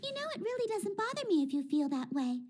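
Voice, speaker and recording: A woman's voice: very high voice, sing-song, fast talker, darkish tone. A woman doing a teasing little girl mage. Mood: smug. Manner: playful, teasing. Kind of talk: fan manga dub. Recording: with background music.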